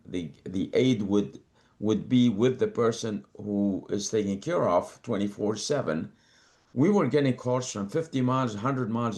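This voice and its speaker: An older man from Lebanon, a company co-founder talking as a guest in an interview, measured and prone to repeating words as he gathers his thoughts. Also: lowish voice, darkish tone. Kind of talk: interview conversation.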